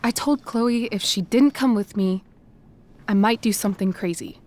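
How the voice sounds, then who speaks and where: A woman's voice: mid-range voice, moderate pace, darkish tone, natural voice. An actress playing a poised, persuasive teenage schoolgirl with an earnest, slightly theatrical delivery in a video game drama. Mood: confessional.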